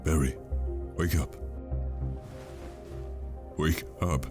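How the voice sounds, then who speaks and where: Weary protective dad played by a man, extremely low voice, firm and grave, dramatic scene with music underneath. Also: steady tone, very slow, exaggerated voice. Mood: urgent, firm. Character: weary protective father fleeing with his child. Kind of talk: dramatic film-style acting. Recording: music behind the voice.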